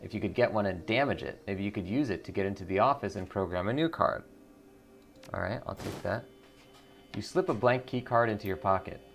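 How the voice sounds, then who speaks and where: Low, gravelly male game streamer. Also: animated, a little fast. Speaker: gaming streamer, narrator. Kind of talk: game playthrough, reading narration and dialogue aloud. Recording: music behind the voice.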